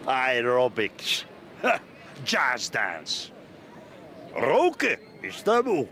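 A man's voice: mid-range voice, huge pitch swings, very slow, bright, forceful tone, heavily acted. An actor with a Flemish accent playing a bitter, boastful, drunken middle-aged man in a radio play. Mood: grumbling, boozy. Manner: rambling, repetitive, cackling, tipsy. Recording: radio play with clear outdoor background noise.

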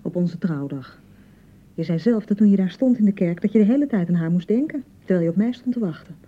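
Actress doing a touchy, unsure young bride in a radio play, husky voice, slightly muffled sound. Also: female voice, mid-range voice, animated, brisk, close to an everyday voice. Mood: sulky, defensive. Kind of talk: radio drama acting in Dutch. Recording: dull, slightly muffled 1990 radio broadcast.

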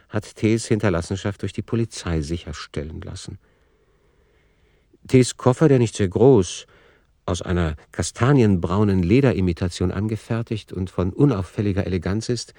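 A man narrating a literary radio play in a measured, matter-of-fact, sober way. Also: deep voice, dark tone, dry recording, studio quality.

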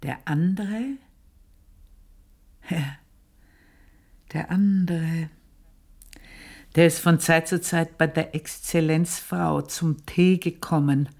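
A woman's voice: deep voice, creaky, a little mellow, echoey room, exaggerated voice. An actress playing an earthy, proud, aging housemaid, confiding her memories in a radio play monologue. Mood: reminiscing. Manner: confiding, unhurried storytelling with pointed pauses. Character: earthy, proud aging housemaid reminiscing about past desires.

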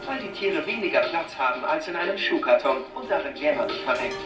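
A sarcastic activist parodies a polite shop announcement. The voice is roomy and distant, like a store loudspeaker, with loud music underneath. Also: female voice, low voice, expressive, fast talker. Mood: mock-polite.

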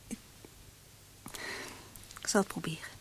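A woman's voice: a little slow, close to an everyday voice. An actress playing a shy, devoted young woman in love in a radio drama, hesitant and often close to a whisper. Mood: shy, anxious. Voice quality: breathy, often near whisper. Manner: hesitant, timid.

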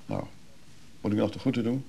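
Mellow, creaky, wry man. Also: fairly low pitch, wildly swooping pitch, brisk, a little hiss or hum, full character voice. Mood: dry, dismissive. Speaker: actor in a radio play. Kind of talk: naturalistic Dutch radio drama dialogue.

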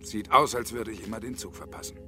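A man's voice: on the low side, fast talker, over music, close to an everyday voice. An actor playing a bitter, stubborn unemployed engineer in his fifties, sardonic and pointed, in a German radio drama. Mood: brooding. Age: middle-aged.

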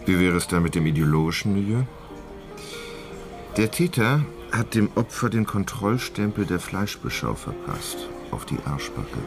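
Pensive village man, low voice, wry, sheepish, radio drama, music behind. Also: big pitch swings, moderate pace. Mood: thoughtful. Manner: hesitant, wry. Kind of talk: naturalistic radio drama acting.

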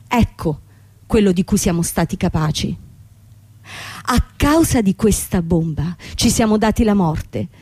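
An actress playing a young, proud, bitter bankrupt company owner in a theatrical radio drama. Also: female voice, medium pitch, warm tone, no echo. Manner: theatrical, dramatic delivery with repetition.

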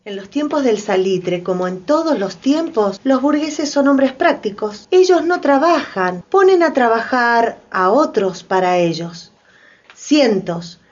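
A woman's voice: mid-range voice, darkish tone. A woman reading scripted narration for a radio drama. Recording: dull sound, faint music behind.

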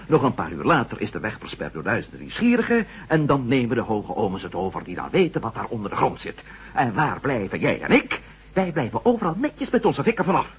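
An actor playing a wry, adventurous ex-astronaut reporter in a Dutch science-fiction radio drama, animated in his delivery. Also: medium pitch, fairly quick, husky, full character voice. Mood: wry, exasperated. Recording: dull, noisy old radio recording with hum.

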